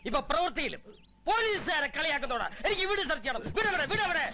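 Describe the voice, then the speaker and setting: A man's voice: very high-pitched, sing-song, quick pace, bright, forceful tone, slight background noise, full character voice. A man playing a blustering, bullying policeman in a film comedy, scolding and sarcastic. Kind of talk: Malayalam film comedy dialogue.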